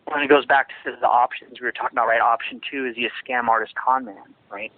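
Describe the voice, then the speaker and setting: A man's voice: medium pitch, fairly even intonation, fast, no room sound. A man giving a phone interview, talking in a rambling, emphatic way with many restarts. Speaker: interviewee, former associate of a ministry. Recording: tinny phone line, dry.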